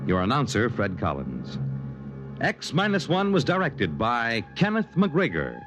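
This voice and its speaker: A man's voice: mid-range voice, wildly swooping pitch, measured pace. A man with an American accent reading scripted credits and sponsor copy as a 1950s radio announcer. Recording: vintage radio, faint music behind.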